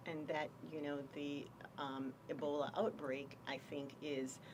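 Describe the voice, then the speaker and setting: A woman from Jamaica, a doctor explaining her work in a radio health interview, measured and full of pauses. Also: low-pitched, flat delivery, far from the mic, noisy background. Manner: measured, explanatory, frequent ums. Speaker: infectious diseases doctor, guest.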